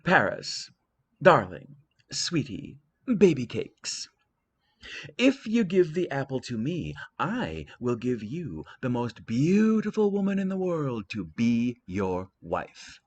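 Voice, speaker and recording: A man's voice: medium pitch, wildly swooping pitch, slow, slightly rough, exaggerated voice. A man doing a cooing, flirty goddess. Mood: coaxing. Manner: cooing, coaxing.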